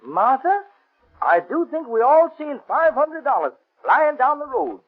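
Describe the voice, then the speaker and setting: An actor playing an excitable, folksy Southern gas station man in a 1930s radio drama. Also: very high-pitched, measured pace, vocal fry, exaggerated voice. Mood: excited. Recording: muffled, tinny 1930s radio recording.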